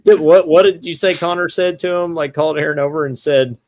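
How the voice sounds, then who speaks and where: A man's voice: on the high side, steady tone, brisk, a little mellow, dry recording. Casual man rambling on a sports podcast, dry sound. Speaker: podcast host.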